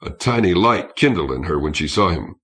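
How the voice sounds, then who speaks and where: A man's voice: low voice, lively intonation, fairly quick, fairly bright tone. A man reading a science fiction novel aloud as scripted audiobook narration.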